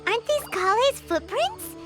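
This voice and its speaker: A tiny floating fairy sidekick with an extremely high, squeaky child's voice. The pitch bounces around with great animation as the little creature chatters and exclaims like an anime game mascot. Music plays clearly behind. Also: female voice, big pitch swings, moderate pace, a bit bright, exaggerated voice. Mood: worried, curious. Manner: exclamatory, refers to self in third person.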